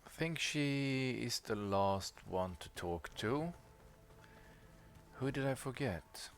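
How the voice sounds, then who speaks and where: A man's voice: medium pitch, lively intonation, slow. A man giving casual commentary while playing a video game. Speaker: gameplay commentator.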